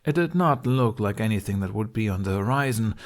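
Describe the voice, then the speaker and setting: A man with a fairly low voice narrates a fantasy audiobook. He reads scripted prose as a storyteller. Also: lively intonation, a little fast.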